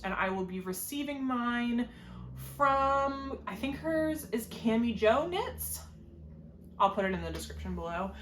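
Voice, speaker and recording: A young woman hosting a knitting video podcast, chatting casually with lots of fillers, her voice a little hoarse. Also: medium pitch, fairly bright tone, a little hiss or hum. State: slightly hoarse, losing her voice. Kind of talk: casual chat to camera. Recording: echoey room.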